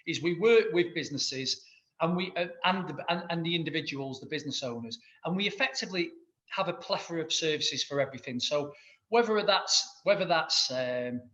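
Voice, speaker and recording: A man's voice: fairly high pitch, lively intonation, a little fast, pushed and bright, highs cut off. A man from Manchester with a Mancunian accent, an accountant answering interview questions enthusiastically, rambling with many restarts. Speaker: guest, accountant and business owner.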